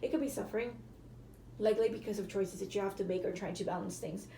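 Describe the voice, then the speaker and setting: A woman with a hoarse, cracking voice, giving a casual tarot reading to viewers and often apologizing. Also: medium pitch, steady tone, fast, dark tone, echoey room, slight background noise, low-quality recording. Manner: chatty, apologetic, hedging with fillers. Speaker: tarot reader.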